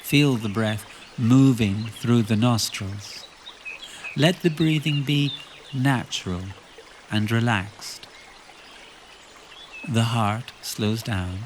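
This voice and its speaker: Slow-speaking man guiding meditation over trickling water. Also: medium pitch, a little hiss or hum. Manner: slow, short phrases with long pauses, instructing calmly. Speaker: meditation guide. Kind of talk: guided relaxation meditation.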